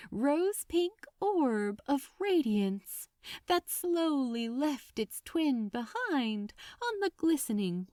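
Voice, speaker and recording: High-voiced, expressive woman narrating a story. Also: dry recording, clean studio sound. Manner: expressive, unhurried, lingering on phrases. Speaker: audiobook-style narrator of fan fiction. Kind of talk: dramatic scripted story narration.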